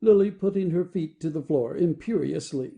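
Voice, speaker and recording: A man reading the stage directions of an audio play as expressive scripted narration. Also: on the high side, moderate pace, gravelly, lo-fi sound.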